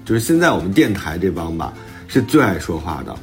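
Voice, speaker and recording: 一位男性脱口秀主播，轻松随意地聊天，爱讲自己的小故事和吐槽。. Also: male voice, low voice, very animated, brisk, faint music behind. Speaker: podcast co-host and author. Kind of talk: casual banter on a talk show.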